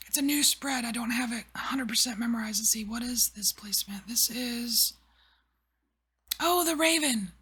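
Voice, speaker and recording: A woman giving a whispered tarot reading, rambling softly with many fillers. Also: a little high, moderate pace. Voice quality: breathy. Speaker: tarot reader.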